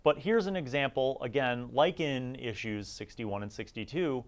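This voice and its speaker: A man's voice: mid-range voice, moderate pace. Chatty middle-aged man, informal and emphatic. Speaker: host of a tabletop gaming review series. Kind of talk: casual conversational review commentary.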